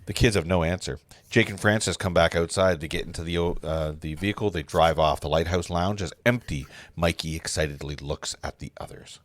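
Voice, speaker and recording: A man's voice: sits low, fairly quick, dry recording. A man narrating stage directions in an amateur script read, stumbling over words and correcting himself.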